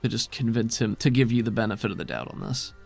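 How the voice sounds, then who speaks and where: A man's voice: on the low side, quick pace, vocal fry, a little mellow, soft background music. A man acting as game master, narrating scenes and giving casual rulings in a tabletop roleplaying game.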